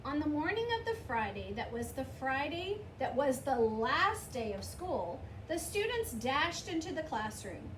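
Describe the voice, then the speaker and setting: A woman's voice: high voice, big pitch swings, measured pace, hardly any pauses, fairly bright tone. A woman reading a children's picture book aloud, giving the dialogue expression. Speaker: storybook reader. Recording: roomy, distant mic, clear background noise.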